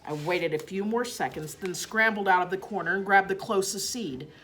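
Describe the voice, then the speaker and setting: A woman's voice: medium pitch, normal speed, fairly bright tone, slight background noise, natural voice, low-quality recording. A woman voicing a clever, kindly, self-satisfied little classroom pet who narrates a storybook.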